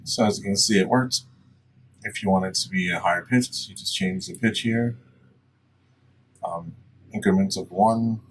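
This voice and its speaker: A man presenting a casual step-by-step software tutorial in a plain-spoken, instructional way. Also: sits low, moderate pace, long pauses. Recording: distant mic, faint background noise.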